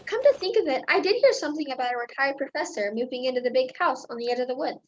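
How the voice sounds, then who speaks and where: High-pitched folksy cart driver, played by a woman. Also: expressive, quick pace, dull audio. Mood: doubtful. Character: friendly, doubtful small-town donkey cart driver. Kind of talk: radio play acting.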